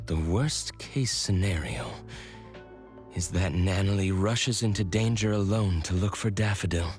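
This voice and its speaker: A male actor playing a calm, thoughtful companion in a video game. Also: sits low. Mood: concerned.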